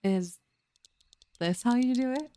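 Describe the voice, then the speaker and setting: A woman's voice: a little high, slow pace, warm tone. A woman playing a skeptical, teasing young elf and reluctant research partner in an ASMR roleplay, with a dry, grudging manner.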